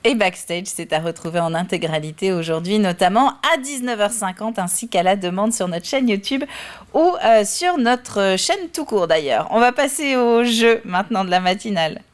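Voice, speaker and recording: A woman presenting a TV morning show, announcing segments in a brisk, scripted way. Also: mid-range voice, animated.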